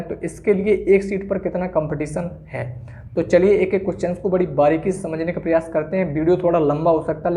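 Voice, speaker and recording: Mellow man explaining point by point. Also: sits high. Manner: didactic, point-by-point. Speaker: exam-prep video presenter. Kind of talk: explainer addressed to exam candidates.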